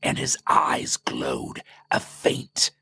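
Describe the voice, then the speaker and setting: A man narrating a scripted story in a suspenseful, theatrical way, with a gravelly, breathy voice. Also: extremely slow, bright, forceful tone.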